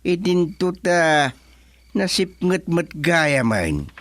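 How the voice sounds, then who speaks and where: A forgetful old Filipino man, played by an actor, grumbles his way through a comedy radio play. He speaks slowly, dragging out his interjections, and the sound is dry and close. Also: on the high side, sing-song, a bit bright, no room sound, heavily acted. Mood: bemused, grumbling. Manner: drawn-out, full of sighing interjections. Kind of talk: Ilocano comedy radio drama acting.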